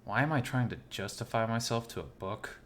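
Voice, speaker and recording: A man voicing a lovesick, gently self-mocking male animal character in first-person visual novel narration, intimate and wistful. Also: medium pitch, a little fast, a little hiss or hum, natural voice. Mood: tender, wistful.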